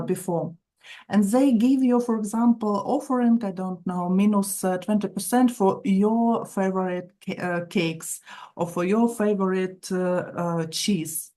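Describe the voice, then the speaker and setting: A woman from Ukraine with a Ukrainian accent teaches an online session as an instructor, speaking haltingly with frequent fillers. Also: medium pitch, dark tone. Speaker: marketing instructor. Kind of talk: online training session, explanatory lecture.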